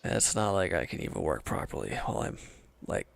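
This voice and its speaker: A man acts out a wounded, defeated villain in an intimate audio drama. His voice is fairly low and full of fry, recorded close and dry. He speaks haltingly and sullenly, guarded and despondent, often sinking toward a whisper. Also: big pitch swings, no echo, exaggerated voice. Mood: despondent, weary. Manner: sullen, halting, sighing, dropping into near-whispers. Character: wounded, defeated male villain henchman, bitter, defensive, despondent.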